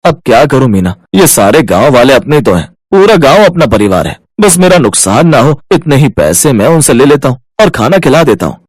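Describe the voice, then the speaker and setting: Kind village cook played by a man, lively intonation. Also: medium pitch, a little fast, hardly any pauses, no echo. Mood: cheerful. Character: kind, humble village eatery owner. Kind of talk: storybook character dialogue. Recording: dry studio voice over music.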